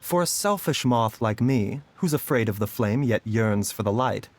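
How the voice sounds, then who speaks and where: An actor playing a gentle young doctor in a game, speaking in a calm, measured, reflective way. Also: male voice, mid-range voice, a little hiss or hum, lightly acted.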